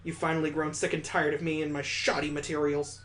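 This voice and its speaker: A man's voice: a little high, flat delivery, a little fast, fairly bright tone, slight background noise, close to an everyday voice. A man voicing a teasing student who acts theatrically wounded and self-pitying. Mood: mock hurt.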